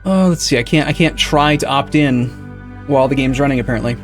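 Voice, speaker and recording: A man's voice: mid-range voice, brisk. Rambling man streaming over faint music. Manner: rambling, self-correcting, reads and answers chat. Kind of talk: casual live-stream chatter while setting up a game.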